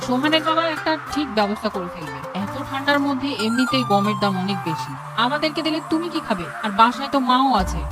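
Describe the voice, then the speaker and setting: A woman playing a caring, worried village daughter and mother in an animated story, pleading gently. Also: sits high.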